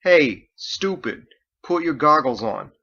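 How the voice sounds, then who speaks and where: A man voicing a brash, excitable young rifleman in an audiobook story. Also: fairly high pitch, takes it slowly, muffled recording, voice pushed up, full character voice. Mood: teasing. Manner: loud, jeering, excitable. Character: brash, teasing young male rifleman on patrol.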